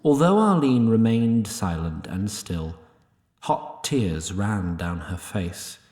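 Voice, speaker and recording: Slow, low-voiced male narrator. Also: animated, a little mellow, reverberant. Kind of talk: scripted story narration.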